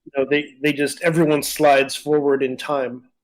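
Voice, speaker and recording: A man's voice: fairly high pitch, little pitch movement, moderate pace, rough recording. A man with a conversational, explanatory manner, chatting casually as a podcast co-host. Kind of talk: casual discussion of comics and films.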